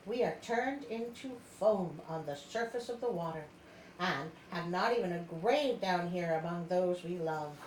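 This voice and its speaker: A woman voicing a wise old mermaid grandmother from a storybook, speaking in a grave, measured way. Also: medium pitch, natural voice. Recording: roomy echo, background noise.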